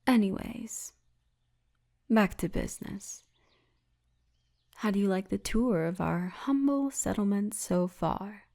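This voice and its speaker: A woman's voice: medium pitch, takes it slowly, long pauses, a little mellow. A woman playing a big, confident female orc militia officer who teases as she guides, in an ASMR roleplay with formal phrasing. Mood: proud, inviting. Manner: teasing, confident, formal turns of phrase, often breathy murmur.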